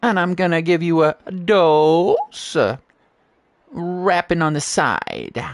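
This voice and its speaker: A male streamer putting on a playful rap intro. His voice is a little high and very animated, slow in delivery and dryly recorded. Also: no echo.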